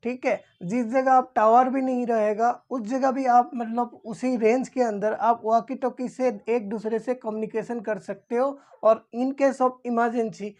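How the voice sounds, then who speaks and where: Lady teacher, kinda high voice, explains stuff step by step and repeats the terms. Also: steady tone, darkish tone. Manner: repeats key terms, dictating slowly as if writing them out. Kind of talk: explanatory lesson for security guards.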